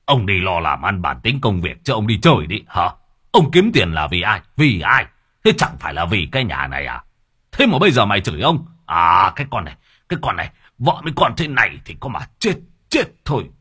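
Gruff drunk husband, low and blustering, done by a narrator. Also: big pitch swings, brisk, pushed and bright, heavily acted. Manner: growling, cursing, blustering.

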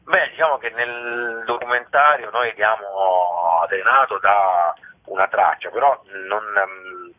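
A man answering interview questions as a documentary director, explaining in a halting way, searching for words. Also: on the low side. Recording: thin, telephone-like radio line.

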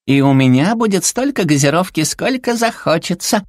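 Guy doing a cutesy little animal villain lady, squeaky high, super polite and gushy, storybook style. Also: lively intonation, moderate pace, no room sound, clean studio sound. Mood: gushing, earnest. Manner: gushing, sugary politeness. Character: cute small female furry villain, sweetly polite and pouty.

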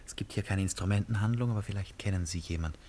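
A man acting a volatile, tormented, drunken gentleman in a 1950s German radio play, theatrical and erratic, swinging between muttering and outbursts. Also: lowish voice, quick pace, close to an everyday voice. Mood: brisk, restless. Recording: noisy 1950s radio drama recording.

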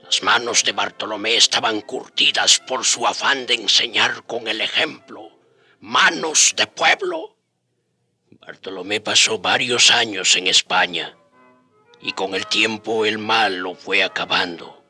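An actor playing a solemn storyteller, narrating a historical radio drama in a measured way. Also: male voice, on the low side, animated, pushed and bright, husky, soft background music, heavily acted.

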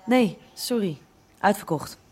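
A woman's voice: medium pitch, animated, slow pace, a little mellow, breathy edge, faint music behind. An actress playing an apologetic woman dealer in a radio drama, speaking casually. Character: apologetic female soft-drug seller. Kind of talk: naturalistic Dutch radio drama dialogue.

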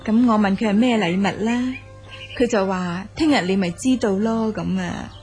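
Stately, reassuring Cantonese empress, acted by a woman, medium pitch. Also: moderate pace, darkish tone, soft background music, close to an everyday voice. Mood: consoling. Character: gracious ancient Chinese empress, praising and consoling. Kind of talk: Cantonese audio drama acting.

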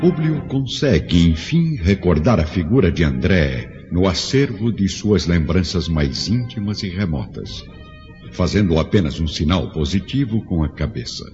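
A man's voice: deep voice, very animated, normal speed, a little mellow. A man narrating a radio drama as a solemn storyteller, with dramatic scripted delivery. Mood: suspenseful. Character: solemn storyteller of an ancient epic. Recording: dull lo-fi radio drama, music behind.

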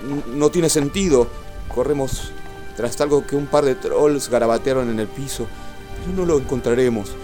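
A man's voice: mid-range voice, dark tone, natural voice. A man playing a weary, loyal talking horse who hesitates in despair, in a radio drama. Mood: hopeless, confused. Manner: hesitant, halting, resigned. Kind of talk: Spanish-language radio drama acting. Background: music and rain.

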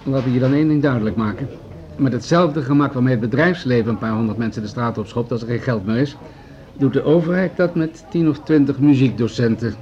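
An actor plays a pragmatic male official in a radio drama, with a soft, dark-toned voice at middle pitch. He argues formally and patronizingly in measured sentences. The recording is a little dull. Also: natural voice. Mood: condescending, firm. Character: pragmatic, patronizing male municipal official pushing budget cuts. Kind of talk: Dutch radio drama acting.

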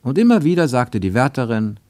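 A man narrating a radio drama in a measured, deliberate storytelling manner, recorded in a studio. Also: mid-range voice, big pitch swings, mellow, no room sound. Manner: measured, deliberate storytelling with slowed emphatic phrases.

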